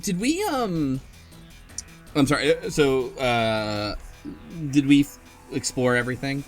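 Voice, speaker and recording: A man in a casual panel discussion, talking in a halting way with trailing asides. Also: medium pitch, expressive. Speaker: book club podcast panelist. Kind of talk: casual discussion of a video game story. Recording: music playing under the talk. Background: background music.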